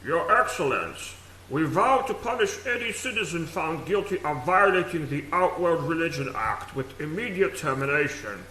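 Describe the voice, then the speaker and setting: Casting: male actor as harsh regime legal minister, slightly high, sharp and forceful, formal radio drama delivery. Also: big pitch swings, moderate pace. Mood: severe, vowing. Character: severe punitive minister of law in a dystopia. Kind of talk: radio play acting. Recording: echoey, faint noise.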